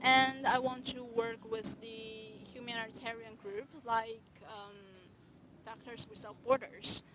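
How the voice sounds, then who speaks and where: Earnest young woman, slightly high. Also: flat delivery, a crowd in the background. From Taiwan. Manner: earnest, deliberate, with occasional restarts. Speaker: resident doctor. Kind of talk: answering interview questions.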